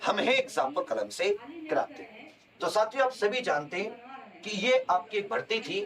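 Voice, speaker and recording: Male coaching teacher, very high voice, explanatory lecture, emphatic repetition, distant from the mic. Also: lively intonation, normal speed, fairly bright tone. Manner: emphatic repetition of key points. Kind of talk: explanatory lecture to exam aspirants.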